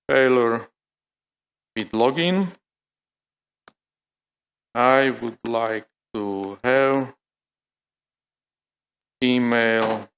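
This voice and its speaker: A man's voice: mid-range voice, fairly bright tone. A man giving a conference tech demo, thinking aloud with many fillers and restarts. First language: Slovak. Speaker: conference speaker. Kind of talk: live technical demo talk. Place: conference hall.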